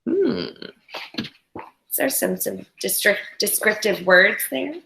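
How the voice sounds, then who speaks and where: A woman reading a storybook aloud to young children in an expressive, teacherly way, with instructive asides to her listeners. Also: medium pitch, takes it slowly, creaky. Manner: animated, instructional, talks directly to young listeners. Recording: echoey room.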